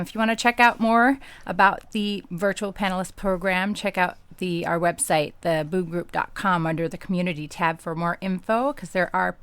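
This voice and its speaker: A woman in her thirties speaks in a smooth, lowish voice. The sound is dry and close. She is the show's producer and chats casually, with plenty of ums. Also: dry recording. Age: 30s. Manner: relaxed, many ums. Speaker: show producer. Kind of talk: casual chat.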